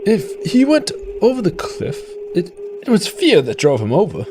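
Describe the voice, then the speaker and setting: Guy acting injured old-timey doctor, high voice, halting and polite, noisy mic, radio play. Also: wildly swooping pitch, heavily acted. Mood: evasive, sorrowful. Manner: formal, halting, throat-clearing. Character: injured doctor, frail and apologetic, politely evasive. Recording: clearly noisy microphone feed.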